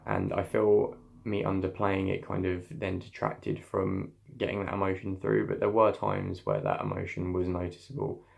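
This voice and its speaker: A man's voice: normal speed, gravelly, darkish tone. A young man, an acting student, reflecting on his voice work in a scene, talking thoughtfully with many restarts. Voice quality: slightly deep voice.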